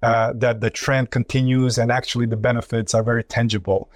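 A man's voice: mid-range voice, little pitch movement, brisk, no echo, studio quality. A man from Croatia with a Croatian accent, speaking as a company chief and guest in a conversational interview. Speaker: company CEO, podcast guest.

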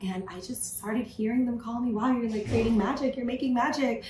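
A woman in her thirties, a guest in a podcast interview, who talks in an animated way, laughs often and acts out her own quotes. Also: fairly high pitch, brisk. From United States. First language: Thai. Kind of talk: casual interview talk. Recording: echoey room, faint noise.

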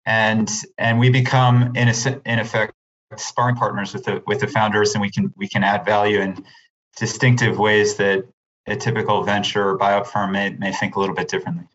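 A man's voice: lowish voice, level tone, a little fast, a bit bright, highs cut off. A man speaking as an interview guest, explaining business points. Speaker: podcast guest, investment firm co-founder.

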